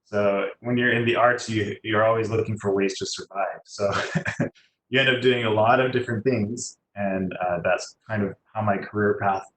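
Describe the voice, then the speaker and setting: A man speaking as a guest, giving a casual, halting, chatty career talk to students. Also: lowish voice. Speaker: guest speaker, musician and designer. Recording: echoey room, faint background noise.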